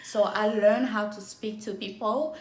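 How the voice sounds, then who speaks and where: A woman in her thirties from Cameroon whose first language is French. She speaks English with a strong Cameroonian French accent, slowly and deliberately, in a casual vlog recorded on her phone. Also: medium pitch, steady tone. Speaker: event planner and entrepreneur. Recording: echoey room on a phone.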